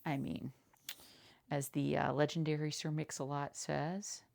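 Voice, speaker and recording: A woman talks softly in a low register with a noticeable fry in her voice. She plays a seamstress in an ASMR roleplay, casual and halting, murmuring short reassurances and repeating them as she goes. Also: level tone. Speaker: ASMR creator playing a seamstress. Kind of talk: soft-spoken ASMR roleplay.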